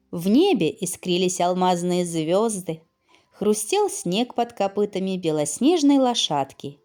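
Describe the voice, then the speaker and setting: Woman reading a fairy tale, very lively intonation. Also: lowish voice. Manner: animated, deliberate, dramatic pauses. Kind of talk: expressive scripted storytelling for children.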